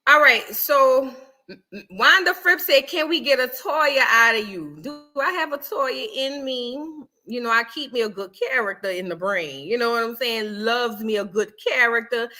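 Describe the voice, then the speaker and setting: A woman's voice: on the high side, animated, normal speed, bright, forceful tone, faint music behind. A woman from New Orleans with a New Orleans accent, hosting a casual livestream and thanking viewers by name, calling them baby. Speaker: livestream host.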